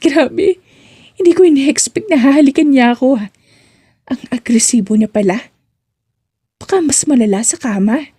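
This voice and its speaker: A woman's voice: sits high, moderate pace, pauses often, dark tone, slightly rough, no echo, studio quality, pitched above the performer's own voice. A woman voicing a flustered, giddy young employee smitten with her boss in a romance audiobook, with stammering exclamations. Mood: giddy, amazed. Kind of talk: romance audiobook character acting.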